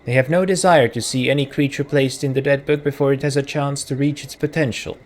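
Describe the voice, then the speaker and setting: A man's voice: medium pitch, fairly even intonation, a little fast. A man with a Czech accent, a native Czech speaker, hosting a let's play in casual commentary, reading game text aloud and musing through self-corrections as he thinks aloud.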